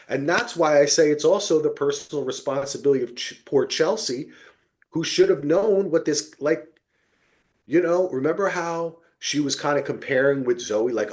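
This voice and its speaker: Man, podcast host, very animated intonation, rambling casual talk, halting phrasing, loose swearing, seeks agreement often. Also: mid-range voice. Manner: rambling, halting, checks in with "right?" and "you know".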